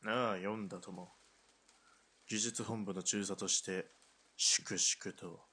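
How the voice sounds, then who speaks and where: A calculating middle-aged officer performed by a man in a military radio drama. He has a low, raspy-breathy voice and talks down to others in measured phrases. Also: steady tone, pushed and bright, heavily acted. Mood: measured, self-justifying. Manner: measured, condescending officer's speech. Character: smooth-talking, calculating middle-aged army lieutenant colonel.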